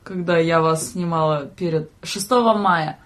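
A young woman in casual studio chat as a podcast guest, brash and teasing, swearing freely and quick to raise her voice. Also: lowish voice. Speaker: podcast guest and camera operator.

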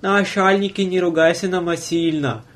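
A man's voice: high voice, fairly even intonation, normal speed, fairly bright tone, slight background noise. A man in his twenties hosting a casual podcast chat, playfully telling anecdotes and quoting the people in them. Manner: playful, quotes others in his stories. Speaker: podcast host, student train conductor. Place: podcast studio.

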